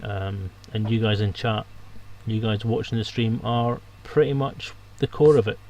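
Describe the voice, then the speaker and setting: A man's voice: on the low side, steady tone, unhurried, darkish tone, rough recording. A man hosting a livestream, chatting casually with his guests and viewers as he wraps up. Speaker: livestream host.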